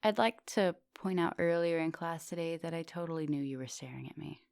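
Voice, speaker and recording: A woman acting as an ancient female vampire professor in an intimate ASMR roleplay. Her voice is very low with a gravelly fry, recorded close and dry. She is composed and formal in her wording, yet teasing and gently commanding, slipping at times toward a whisper. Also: fairly even intonation, fairly quick, no room sound. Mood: flirtatious, teasing. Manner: teasing, formal wording, soft dominant, often dropping into whispers.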